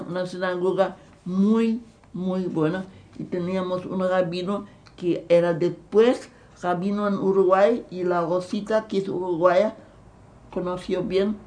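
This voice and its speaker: An elderly woman with a German accent, recalling her past in an interview, halting and repeating words as she searches for them. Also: lowish voice, warm tone. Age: older. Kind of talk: recounting memories in an interview.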